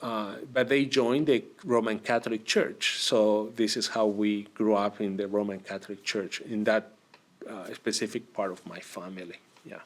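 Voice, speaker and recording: A man from Argentina, a priest, telling his story in an interview, with many pauses and fillers. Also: on the low side, fairly even intonation. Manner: hesitant, many fillers and restarts. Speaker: priest, guest.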